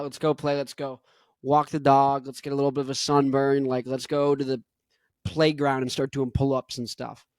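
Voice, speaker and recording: A young man hosting his own podcast in an energetic, rambling monologue full of "like". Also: medium pitch, dry recording, studio quality. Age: young adult. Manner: rambling, energetic, heavy use of "like". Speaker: podcast host.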